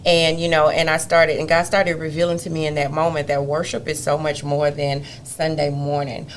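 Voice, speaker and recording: A woman, a gospel singer and interview guest, tells stories in an expressive, animated way and often breaks into laughter. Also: sits low, moderate pace, a little hiss or hum. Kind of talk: conversational interview storytelling.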